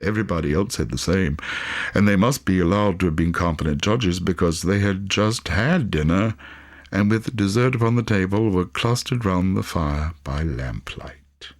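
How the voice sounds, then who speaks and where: A man reading a literary story as an audiobook, with measured, theatrical phrasing. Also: low-pitched. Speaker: audiobook reader.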